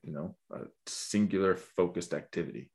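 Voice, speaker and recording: A man's voice: low-pitched, normal speed, a little mellow. A man hosting a podcast, asking questions and musing aloud in a chatty, loose way with fillers. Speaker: podcast host. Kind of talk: casual interview.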